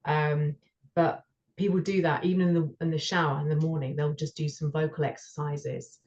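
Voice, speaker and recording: A woman's voice: low-pitched, flat delivery, moderate pace, darkish tone. A woman speaking as a guest in a casual chat, supportive and a little hesitant. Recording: dull, muffled call audio.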